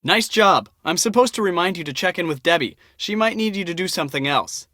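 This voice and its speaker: An actress playing an excitable, impatient young storm chaser in a video game, with a brash, bossy, emphatic delivery. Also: female voice, on the low side, brisk, dry recording, studio quality. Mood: casual.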